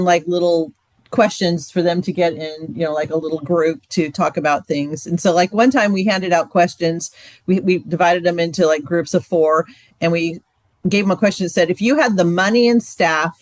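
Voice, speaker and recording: A woman's voice: on the low side, moderate pace, no room sound. A woman co-leading a marriage ministry, answering questions casually and chattily, with many "like" and "um" fillers. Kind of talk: training session talk.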